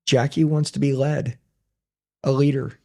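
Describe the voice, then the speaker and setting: A man talks bluntly and emphatically in an interview, in short, firm statements. His voice is mid-pitched and gravelly, with a mellow tone. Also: level tone, unhurried. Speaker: podcast guest and business owner. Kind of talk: blunt motivational talk in conversation.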